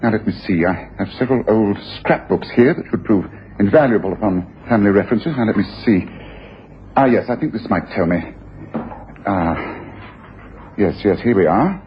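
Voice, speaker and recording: A man playing an analytical gentleman detective in a radio play, musing and lecturing with precision. His mid-pitched voice moves in lively contours. The broadcast is dull, with a faint hiss of noise. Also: normal speed, close to an everyday voice. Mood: musing, knowing. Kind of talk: radio drama acting.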